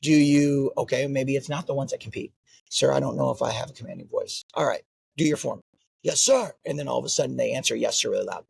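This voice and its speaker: A man's voice: mid-range voice, fast talker, creaky, husky, dry recording, clean studio sound. A man who runs a martial arts school, talking earnestly in an interview and often tagging his points with "right?". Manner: earnest, repeats "right?" as a tag. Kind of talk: interview conversation.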